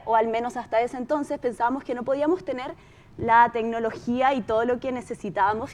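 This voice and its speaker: High-voiced Chilean woman giving a talk. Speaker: podcast producer giving a talk. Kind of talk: conversational presentation telling a production story.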